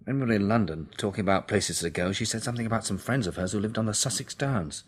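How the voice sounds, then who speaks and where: An actor playing a brooding, plain-spoken male survivor in a radio drama, with a slightly husky voice. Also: lowish voice, quick pace. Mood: pensive.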